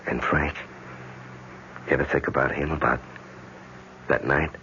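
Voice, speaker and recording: An actor playing an affectionate, protective husband in an old-time radio drama, soothing and casual with a hesitant edge. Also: male voice, very deep voice, level tone, a little slow, bright, forceful tone, full character voice. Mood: hesitant, concerned. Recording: dull, slightly noisy vintage radio recording.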